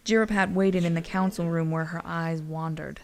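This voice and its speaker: A female narrator with a fairly low voice that creaks often. She reads a scripted story with wry drama, and music plays underneath. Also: fairly even intonation, moderate pace.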